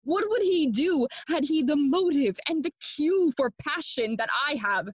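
A woman playing a bitter, grieving young prince in a bilingual classical audio play, speaking theatrical, deliberate verse. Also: sits high, lively intonation, no echo. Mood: anguished self-reproach. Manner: theatrical, deliberate, switching between English and Spanish.

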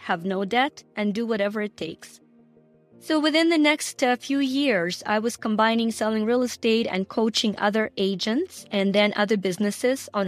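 A middle-aged woman from Ukraine with a Ukrainian accent, telling her personal story emphatically as an interview guest. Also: mid-range voice. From Ukraine, former Soviet Union. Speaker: guest, entrepreneur and coach.

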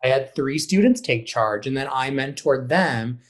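A man of medium pitch speaks as a theater director being interviewed. His delivery is conversational and animated, and he slips into little bits of acted-out dialogue as he explains. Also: normal speed. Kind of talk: casual interview talk.

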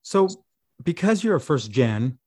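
A man's voice: medium pitch, very animated, measured pace, a little mellow, slightly rough. A man interviewing a guest, building long, probing questions. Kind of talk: conversational interview.

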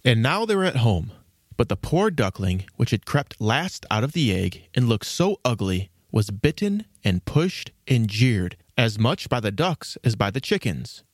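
A man narrates a storybook in an expressive, scripted style. His voice sits at a medium pitch with very animated intonation, and the sound is dry. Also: unhurried, no echo.

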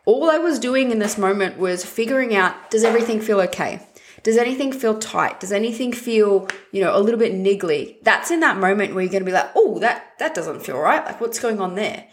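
A woman hosting a fitness podcast at home, her voice hoarse, raspy and husky from a chest infection, talking in an upbeat, direct way with casual swearing. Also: mid-range voice, expressive, fairly quick. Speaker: podcast host and fitness coach.

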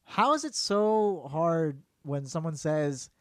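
A man talking casually as a podcast co-host, informal and halting, with some swearing. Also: fairly high pitch, animated, fairly bright tone, dry recording, studio quality. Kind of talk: casual conversational banter.

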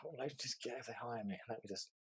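A young man from England with a neutral English accent, a fast-talking, chatty interview guest on a video call, full of fillers and quick laughs. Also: mid-range voice, a bit bright, husky. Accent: English, neutral southern. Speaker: interview guest, art director.

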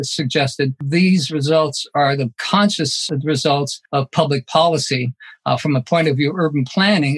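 Older guy on a panel, kinda high voice, slow careful talk with lots of uhs. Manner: measured, thoughtful, with frequent fillers. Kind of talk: panel discussion.

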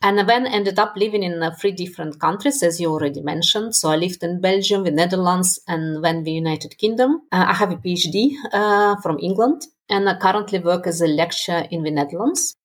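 A woman in her forties speaks with a strong Russian accent and a slightly husky, low-pitched voice. She talks in a halting conversational way, full of fillers and self-deprecating laughs. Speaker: podcast guest. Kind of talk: conversational interview.

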